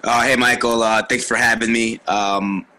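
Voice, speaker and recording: A man in his twenties from Chicago, telling his story as an interview guest, halting with many ums and restarts. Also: medium pitch, level tone, pushed and bright, no echo. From Chicago, USA.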